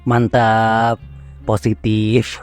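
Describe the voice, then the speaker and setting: A man's voice: on the low side, completely flat delivery, extremely slow, darkish tone, smooth, soft background music. A man with an Indonesian accent giving casual commentary as a gameplay streamer.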